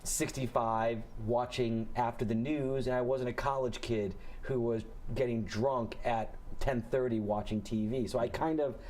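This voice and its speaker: A comedian in his forties, a man, chatting casually as a guest on a morning radio show, piling up stories with quick restarts. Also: mid-range voice. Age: 40s. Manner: animated storytelling, quick restarts.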